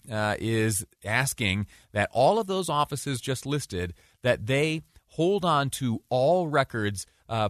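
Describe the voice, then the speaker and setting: A man hosting a talk show, giving conversational news commentary in a deliberate way with rhetorical questions and emphatic repetition. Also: mid-range voice, a bit bright, no room sound, studio quality.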